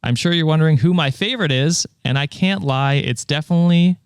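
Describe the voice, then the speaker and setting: A man's voice: fairly high pitch, a little fast, clear, resonant tone, no room sound, clean studio sound. A young man co-hosting a podcast, reading listener reviews in a casual, laughing way with lots of "uh" and "um". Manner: laughs often, fills with "uh" and "um". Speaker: podcast co-host.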